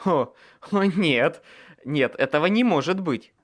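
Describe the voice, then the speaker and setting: A man's voice: a little high, very animated, measured pace, fairly bright tone, pitched above the performer's own voice, heavily acted. A man playing an exasperated, sardonic wizard in a storybook, urgent and exclamatory.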